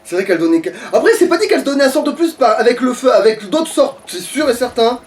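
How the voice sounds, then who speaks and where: High-pitched guy doing a let's play, talks fast, swears and grumbles, bit far from the mic, game music behind. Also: lively intonation, darkish tone. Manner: foul-mouthed, grumbling. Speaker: let's player. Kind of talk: casual gaming commentary with a co-player.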